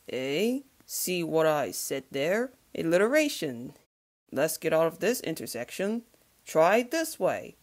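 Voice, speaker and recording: A woman's voice: on the low side, darkish tone, exaggerated voice. A woman voicing a jaunty, polite young cartoon man with a mannered way of talking. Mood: playful. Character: jaunty, polite young man who loves trains and tea.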